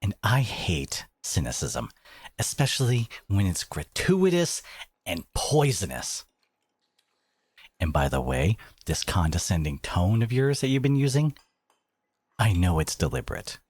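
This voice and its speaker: A man playing a devoted, protective boyfriend in a dramatic roleplay audio, speaking emphatically with sarcastic edges and dramatic pauses. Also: low-pitched, big pitch swings, a little mellow, slightly rough, no room sound, full character voice. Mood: indignant, sarcastic.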